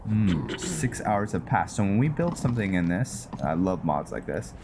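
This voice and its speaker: A man giving casual gameplay commentary mixed with story narration, halting and thinking aloud as he goes. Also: low voice, very animated, noisy background. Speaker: host of a gaming roleplay series. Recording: music behind the voice. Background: music.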